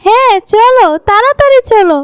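A woman voicing a spiteful, haughty female villain in an animated fairy tale. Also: very high-pitched, normal speed, no echo, studio quality. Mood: eager, smug. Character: vengeful, imperious fairy-tale queen, later a spiteful greedy girl.

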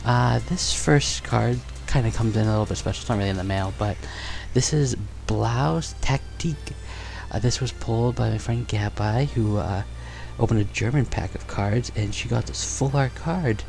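Chatty young guy unboxing cards, bit gravelly, headset mic, soft music behind. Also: medium pitch, moderate pace. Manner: upbeat, chatty, excited exclamations. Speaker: card collecting vlogger. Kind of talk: casual unboxing chat. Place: bedroom studio, indoors.

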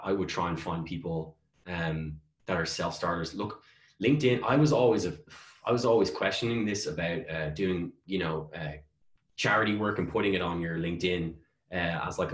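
A man in his thirties giving informal, emphatic advice as an interview guest on a video call. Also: sits low. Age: 30s. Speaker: guest, customer success executive. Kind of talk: conversational interview answers. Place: small bare room at home, on a video call. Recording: echoey room on video call.

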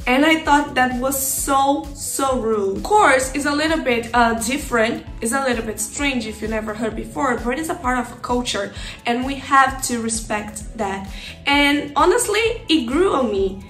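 High-voiced young woman, gushing. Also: moderate pace, echoey room, faint music behind. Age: young adult. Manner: excited, gushing. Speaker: YouTuber and streamer. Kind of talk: casual reaction video chat. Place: small decorated streaming room at home.

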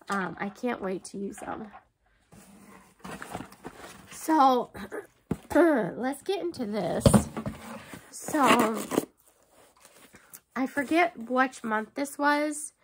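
A woman in her thirties, chatty and excitable, talking casually to camera at home while unboxing, with a stuffy nose and lots of ums. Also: on the high side, slight background noise. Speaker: book box unboxing vlogger. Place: bookshelf-lined room at home.

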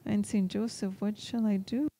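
Very dark, mellow-voiced woman interpreting a sermon. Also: mid-range voice, steady tone, moderate pace, no room sound. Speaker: interpreter voicing a priest's homily in English. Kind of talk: live spoken translation of a sermon.

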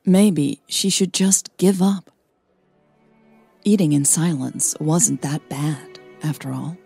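A woman's voice: deep voice, slow, creaky, warm tone, breathy edge, no echo, faint music behind. A woman hosting a podcast and narrating a romance story, sounding a little tired. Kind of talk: scripted romance narration and casual podcast outro.

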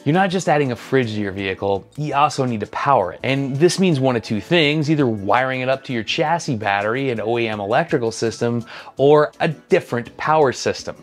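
A man in his thirties giving a scripted gear review in a dry, matter-of-fact, slightly sardonic manner, recorded on a lapel mic indoors. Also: mid-range voice.

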